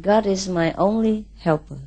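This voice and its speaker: A woman's voice: medium pitch, measured pace, mellow. Vietnam-born woman spiritual teacher, short broken english lines, waits for the translator. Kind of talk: spiritual talk with live interpreter.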